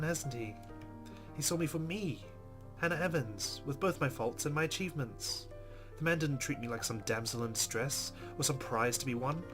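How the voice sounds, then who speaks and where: A man hosting a let's play, reading visual novel narration aloud. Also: fairly high pitch. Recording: music behind the voice.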